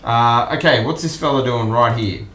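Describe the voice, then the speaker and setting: An Australian man hosting an online news stream, commenting casually and off the cuff in a halting, musing way. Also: mid-range voice, a bit bright. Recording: roomy echo with music behind.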